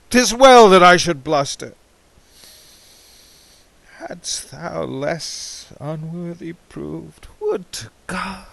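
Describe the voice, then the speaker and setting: A man giving a theatrical, impassioned recitation of poetry, swinging between hushed and ringing delivery. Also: sits high, gravelly, no echo. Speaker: amateur poetry reader.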